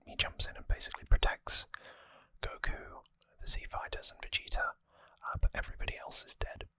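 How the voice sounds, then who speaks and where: ASMR narrator: fully whispered, breathy, informal and explanatory, close-miked with no room sound. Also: no echo. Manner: soft-spoken, informal, explanatory. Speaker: ASMR host. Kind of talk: ASMR whispered story recap. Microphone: close microphone, dry.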